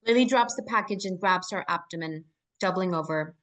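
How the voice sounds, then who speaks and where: A woman narrates a screenplay at a table read in a fairly low voice. She reads stage directions and scene headings as scripted action. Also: fairly quick, a bit bright.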